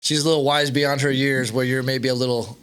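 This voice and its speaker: Smooth-voiced man hosting a podcast. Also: medium pitch, flat delivery, fairly quick, a bit bright. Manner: joking, ribbing his guest. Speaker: podcast host. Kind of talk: casual podcast chat. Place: podcast studio in California.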